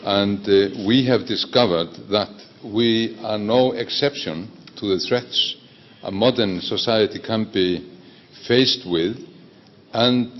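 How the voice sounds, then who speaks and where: A man from Iceland, giving measured remarks as a panelist. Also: lowish voice, highs cut off, a little hiss or hum. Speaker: panelist, politician.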